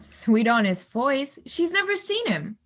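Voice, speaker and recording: A woman playing a spirited, teasing, bossy backwoods wife in an audio drama, brisk and commanding. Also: sits high, sing-song. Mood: wry, teasing. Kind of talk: amateur audio drama acting.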